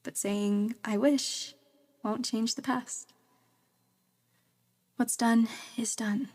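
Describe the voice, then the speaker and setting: Actress as a wistful young woman, higher voice. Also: steady tone, drawn-out words, a little mellow. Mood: regretful. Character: wistful, regretful young woman, sensitive and hesitant. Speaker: lead actress and narrator. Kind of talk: dramatic first-person narration and dialogue.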